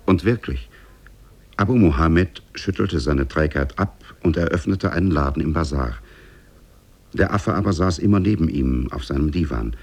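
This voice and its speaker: Old radio narrator guy, super deep and gravelly, formal measured fairy tale reading, 1950s german style. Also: lively intonation, a little mellow.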